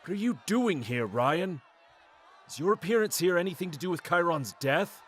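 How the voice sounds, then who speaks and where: An actor playing a righteous, hot-tempered guardian warrior, stern and urgent, in a fantasy audio drama. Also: male voice, sits high, animated, fairly bright tone, husky, heavily acted. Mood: stern anger. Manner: stern, urgent, quick to anger.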